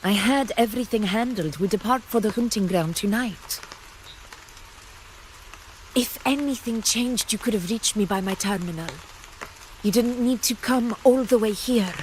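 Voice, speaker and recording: A woman playing a proud, unflappable warrior ruler in a video game, with measured, grand phrasing and a teasing streak. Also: fairly high pitch, slight background noise, close to an everyday voice, rough recording. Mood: calm, commanding.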